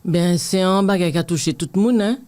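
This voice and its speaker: A woman's voice: fairly low pitch, fairly quick, dark tone. A woman in her fifties with a Martinican accent, chatting earnestly as an interview guest and reflecting on accepting herself. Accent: Martinican French. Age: 50s. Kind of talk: casual interview chat.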